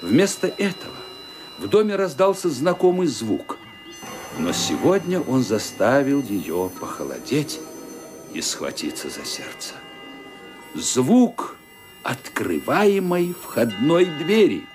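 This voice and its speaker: A man narrating a radio mystery play with suspenseful, deliberate phrasing and dramatic emphasis. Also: medium pitch, sing-song. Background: music.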